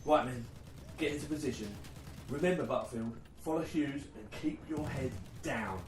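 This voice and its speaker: A man's voice: mid-range voice, normal speed, distant mic, close to an everyday voice. A man playing a dutiful, careworn British army sergeant in a war radio play, firm, earnest and paternal. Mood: quiet, reassuring. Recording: roomy, off-mic, faint background noise.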